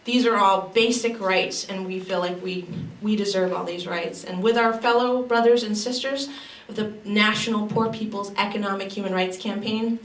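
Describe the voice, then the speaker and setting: A woman's voice: mid-range voice, fairly even intonation, normal speed. A woman co-coordinator giving an earnest, rallying opening speech at a public event. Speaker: anti-poverty group co-coordinator. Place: university library event hall. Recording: echoey hall, distant mic, faint noise.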